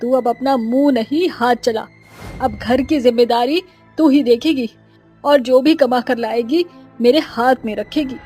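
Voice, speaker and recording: A woman voices a harsh older mother-in-law in a cartoon story. Her voice is high but dark and husky in tone. She scolds and curses without let-up. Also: measured pace, faint music behind. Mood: scolding. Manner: scolding and cursing.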